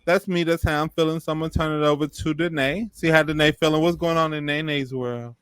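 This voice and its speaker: A young man co-hosting a casual podcast, joking and telling stories in animated asides. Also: a little high, fast talker, clear, resonant tone. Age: young adult. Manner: animated storytelling with playful asides. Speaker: podcast co-host. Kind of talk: casual chat.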